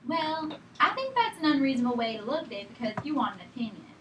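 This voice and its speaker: A woman's voice: high voice, big pitch swings, quick pace. A woman acting a stubborn, evasive, sick woman patient in a drama table read, argumentative and rambling. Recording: echoey room, distant mic, faint noise.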